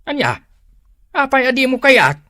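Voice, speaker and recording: A man's voice: extremely high pitch, big pitch swings, measured pace, bright, forceful tone, full character voice. An actor playing a scolding father in an Ilocano radio drama, speaking with an Ilocano accent. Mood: teasing. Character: scolding father of a grown son.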